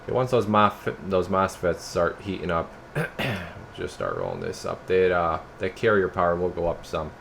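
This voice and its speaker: Low-voiced man, casual demo, noisy background. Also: sing-song, moderate pace, vocal fry, fairly bright tone. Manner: informal, rambling, addresses viewers as guys. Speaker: radio repairer and product reviewer. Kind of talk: casual hands-on product demo.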